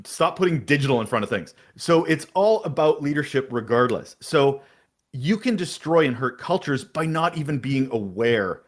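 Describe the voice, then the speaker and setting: A man guesting on a podcast, talking emphatically and energetically in an interview. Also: medium pitch. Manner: energetic, emphatic, talks about having a big voice. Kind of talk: interview answers on leadership.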